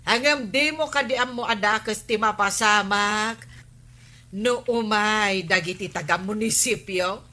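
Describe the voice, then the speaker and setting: Woman acting a sharp, scolding wife. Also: medium pitch, unhurried. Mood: angry, accusing. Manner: nagging, probing, emphatic. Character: suspicious, scolding, sharp-tongued wife of village captain. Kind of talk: Ilocano radio comedy drama acting.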